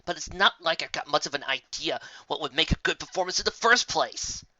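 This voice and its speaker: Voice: mid-range voice, lively intonation, a little fast, pushed and bright, no echo, highs cut off. A reader voicing a temperamental female pegasus with a blunt, exasperated, grumbling manner in a fan story. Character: grumpy, temperamental female pegasus weather worker.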